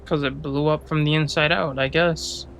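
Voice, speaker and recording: Gravelly, sarcastic man commenting on a game. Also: on the high side, fairly even intonation, moderate pace, background noise. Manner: sweary, sarcastic, grumbling. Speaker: game streamer. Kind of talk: casual playthrough commentary.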